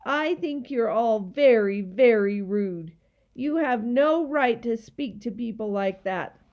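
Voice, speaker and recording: A woman's voice: very high voice, very slow, creaky, warm tone, muffled recording, voice pushed up, exaggerated voice. A woman voicing an indignant little girl in a children's story, scolding in a petulant, emphatic way.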